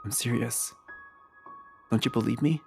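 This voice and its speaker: Gravelly, earnest young clansman, acted by a man. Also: mid-range voice, fairly even intonation, unhurried, darkish tone, soft background music. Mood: earnest, resolute. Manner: hesitant, earnest, terse. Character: earnest, awkward, honor-bound young clan man. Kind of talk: fan-performed game script, dramatic dialogue.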